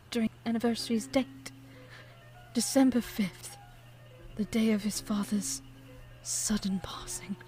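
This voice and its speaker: A woman's voice: fairly high pitch, dark tone, close to an everyday voice. A woman playing an older, devoted, grieving widow of a composer, telling her story formally and wistfully in a period audio drama.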